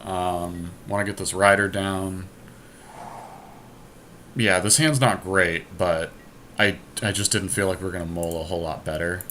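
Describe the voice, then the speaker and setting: A man's voice: deep voice, steady tone, unhurried, pauses often, pushed and bright. A man giving casual commentary as he plays an online card game, thinking aloud. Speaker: podcast host playing an online card game. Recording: noticeable background noise, amateur screen capture.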